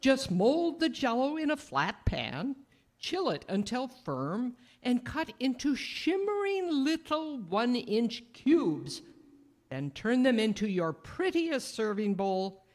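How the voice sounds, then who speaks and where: A woman's voice: fairly high pitch, big pitch swings, slow, darkish tone. A woman reading a scripted 1930s-style radio advertisement in a folksy, emphatic manner. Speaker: commercial announcer.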